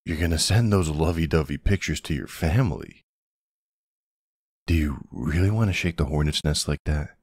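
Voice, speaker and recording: A man playing a protective older ex-military boyfriend, gruff but tender, in an intimate ASMR roleplay with a gravelly, husky, soothing voice. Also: very deep voice, expressive, darkish tone, no echo, studio quality, full character voice. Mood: affectionate. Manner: slow, hesitant, soothing.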